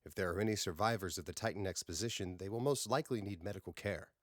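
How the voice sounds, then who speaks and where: A seasoned, courteous starship captain, read by a male narrator in a science fiction audiobook. The voice is low and formal with measured phrasing, recorded dry. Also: dry recording. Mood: formal, commanding.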